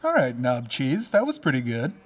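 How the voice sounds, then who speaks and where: A man playing a sardonic, irritable American high school boy in an audio drama, talking casually and sarcastically. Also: wildly swooping pitch, moderate pace.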